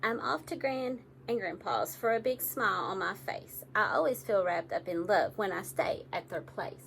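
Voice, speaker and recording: A woman reading picture books aloud to young children in an animated, engaging way, often addressing her listeners directly. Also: medium pitch, normal speed, vocal fry, a little hiss or hum, low-quality recording.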